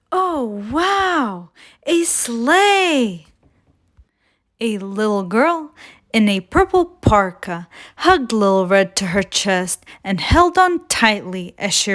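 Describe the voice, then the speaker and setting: A woman reads a children's story aloud as a storytime host, in an expressive, deliberate storytelling way. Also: on the high side.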